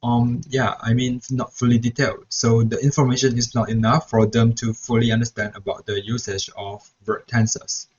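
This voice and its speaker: A man's voice: medium pitch, flat delivery, normal speed, few pauses, breathy edge. A young man who grew up speaking Mandarin, talks through a reflection vlog as a student teacher, hesitant and full of "so yeah" restarts. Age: young adult. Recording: dull lo-fi recording.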